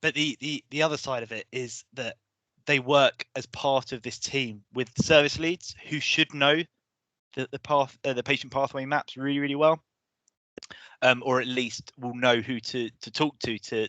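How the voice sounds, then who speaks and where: Hoarse man lecturing on a webinar. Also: medium pitch, pushed and bright, dry recording, low-quality recording. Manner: hesitant, many restarts and fillers. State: losing his voice. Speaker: course presenter.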